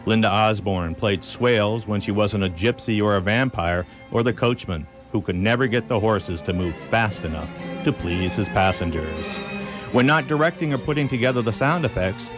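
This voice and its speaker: A man with a fairly low voice announces radio play credits. He reads from a script over clearly audible music. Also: moderate pace.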